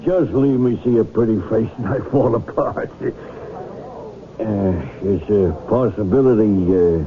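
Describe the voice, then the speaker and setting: An actor playing a blunt, plainspoken, soft-hearted police officer in a 1970s radio drama. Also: male voice, on the low side, takes it slowly. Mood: bemused, softening. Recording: tinny 1970s radio broadcast.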